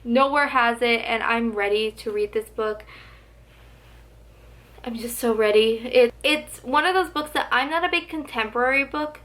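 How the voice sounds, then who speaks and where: A woman's voice: a little high, normal speed, a bit bright. A young woman who talks about books to the camera in a gushing, chatty way, full of "like," with her voice slightly going. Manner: gushing, chatty, heavy use of "like". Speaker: book vlogger. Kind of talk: casual book tag vlog.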